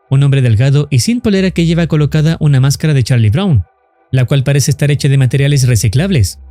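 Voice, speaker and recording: A man's voice: on the high side, warm tone, dry recording. A man reading scripted narration for a horror explainer video.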